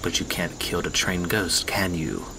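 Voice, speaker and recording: An actor playing a brooding reformed assassin who leads a resistance, speaking in a grave, world-weary, measured way in an audio drama. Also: male voice, low-pitched, sing-song, gravelly, bright, forceful tone, slight background noise, full character voice, low-quality recording. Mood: haunted, confessional.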